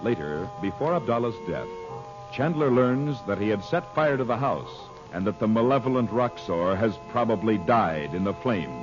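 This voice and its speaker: A man's voice: fairly low pitch, animated, normal speed. A male announcer delivering a scripted old-time radio narration in a formal, dramatic, emphatic manner. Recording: dull vintage radio broadcast recording. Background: music.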